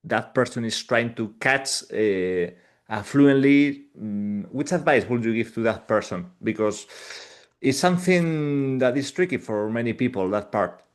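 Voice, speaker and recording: A man hosting an interview and asking a question haltingly, pausing often to search for the words. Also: mid-range voice, expressive. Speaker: podcast host.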